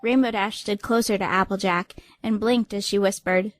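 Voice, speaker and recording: A woman's voice: medium pitch, fairly even intonation, moderate pace, faint music behind. A woman reading scripted, dramatic audiobook narration.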